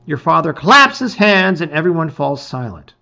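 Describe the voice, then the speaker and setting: A man's voice: a little high, big pitch swings, moderate pace, creaky, bright, forceful tone, highs cut off. A man reading a gamebook aloud as a dramatic story, with theatrical, emphatic phrasing.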